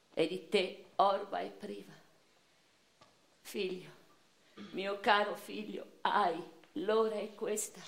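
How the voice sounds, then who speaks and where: An actress playing a grieving, passionate eighteenth-century noblewoman poet who recounts her life in an intense, theatrical radio monologue. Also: mid-range voice. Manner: theatrical, intense, swinging from hushed sorrow to anguished outcry. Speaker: stage actress. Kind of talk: dramatic radio theatre monologue, first-person literary narration. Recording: roomy echo, faint music behind.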